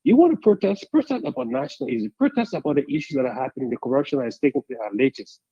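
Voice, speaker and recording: A man calling in to a radio talk show, speaking in a deliberate, measured way that builds to emphatic points. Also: a little high, lively intonation, creaky, warm tone, husky, no echo, highs cut off. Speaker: caller. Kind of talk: call-in radio commentary.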